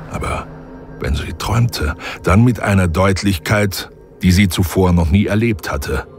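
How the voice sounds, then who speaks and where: A man narrating a science fiction audio drama in a measured, grave way. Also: low voice. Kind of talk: scripted narration.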